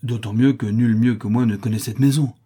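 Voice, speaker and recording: A man voicing a carefree, affectionate young Mexican companion in an adventure story, lively and warm-hearted. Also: medium pitch, moderate pace, breathy edge, close to an everyday voice. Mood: astonished.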